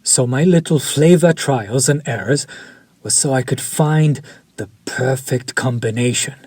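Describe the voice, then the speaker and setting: An actor playing a refined, possessive male vampire chef who speaks formally, slowly and with quiet menace, in an audio roleplay for a listener. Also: mid-range voice, breathy edge, dry recording. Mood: smug. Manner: slow, formal, seductive and menacing.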